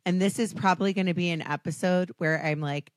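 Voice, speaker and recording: An American woman hosting a podcast, her voice hoarse and raspy, chatting casually with self-deprecating asides and frequent ums. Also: on the low side, steady tone, fairly quick, dry recording, studio quality. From Washington, D.C. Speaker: podcast host. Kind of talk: casual chat.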